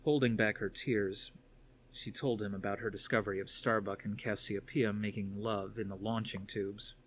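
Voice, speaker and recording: A man's voice: fairly low pitch, fairly even intonation, moderate pace, a bit bright, a little hiss or hum. A man reading a novel aloud as scripted story narration. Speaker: audiobook narrator.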